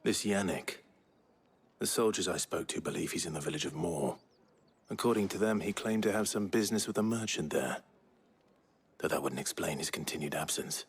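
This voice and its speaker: Deep-voiced, reserved young swordsman. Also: animated, slightly rough, full character voice. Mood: measured. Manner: reserved, measured, terse. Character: brooding, courteous young male swordsman on an errand. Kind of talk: video game cutscene acting. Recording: music behind the voice.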